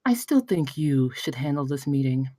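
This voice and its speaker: A woman's voice: deep voice, sing-song, normal speed, warm tone, no echo. A woman playing a blunt, businesslike woman in a comedy screenplay table read. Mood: firm.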